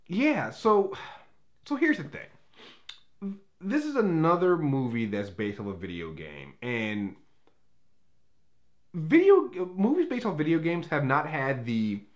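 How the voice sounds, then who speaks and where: A man hosting a movie review in a casual, unscripted way, rambling and opinionated, often backtracking as he talks. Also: medium pitch.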